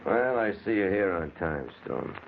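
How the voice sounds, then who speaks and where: A man's voice: low voice, very animated, measured pace, creaky, full character voice. An actor playing a cold, confident racketeer in an old-time radio crime drama, blunt and matter-of-fact in his menace. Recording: lo-fi, noisy old radio recording.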